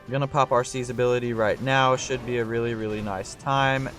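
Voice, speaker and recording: A slightly hoarse young man giving live commentary on a strategy game, talking through his thinking and getting excited on big plays. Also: medium pitch, a little fast, soft background music. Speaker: gaming channel host.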